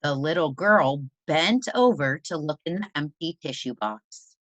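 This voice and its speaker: Low-pitched woman reading a storybook, very animated. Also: no room sound. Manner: deliberate, expressive storytelling for young children. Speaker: teacher reading aloud to students. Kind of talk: expressive children's storybook read-aloud.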